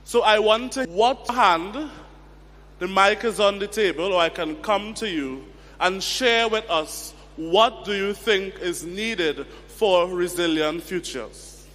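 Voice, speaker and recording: Slow high-voiced male moderator. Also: fairly bright tone. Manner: slow, prompting the audience. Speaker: session chair. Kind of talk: moderating a youth panel. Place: large conference hall. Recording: hall reverb.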